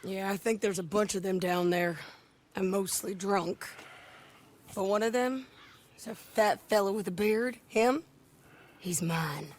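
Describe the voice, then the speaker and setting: A woman's voice: mid-range voice, a little slow, long pauses, slight background noise, lightly acted. Woman acting tough, raw Western outlaw widow. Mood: grim, hard. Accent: American Western. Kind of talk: dramatic video game acting.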